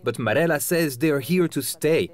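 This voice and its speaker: A man in his thirties reading a scripted news report in a measured, clear way. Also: fairly high pitch. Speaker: correspondent.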